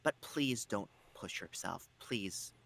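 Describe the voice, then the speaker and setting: A man streaming, speaking in a gentle, considerate way as he gives viewers a sincere advisory. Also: on the low side, expressive, slow pace, gravelly. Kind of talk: sincere spoken content warning to viewers. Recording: faint noise and room ambience.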